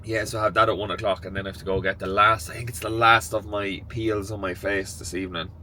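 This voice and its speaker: Man, Irish accent, young adult, croaky, nasal, stuffy cold, casual vlog chat, slightly low voice. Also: fast, slight background noise. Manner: chatty, rambling, relaxed. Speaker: vlogger. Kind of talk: casual vlog talk to camera.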